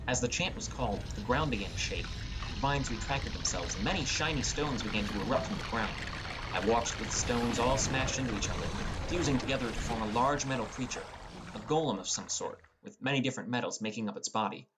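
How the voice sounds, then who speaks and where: A man's voice: mid-range voice, brisk, heavy background noise. A young man reading scripted first-person fantasy narration as a dramatic storyteller. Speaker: audiobook narrator.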